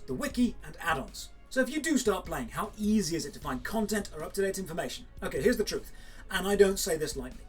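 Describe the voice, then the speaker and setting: Man giving a measured game review. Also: high voice. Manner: measured, candid and direct. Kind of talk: scripted review to camera. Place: indoors in front of a green screen. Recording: faint music under the voice.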